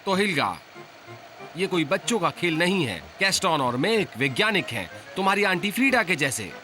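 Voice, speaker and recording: Boastful cartoon scientist-adventurer: theatrical, self-assured, bright, very lively intonation. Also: male voice, high voice, normal speed, faint music behind, full character voice. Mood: commanding. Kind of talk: Hindi cartoon dub acting.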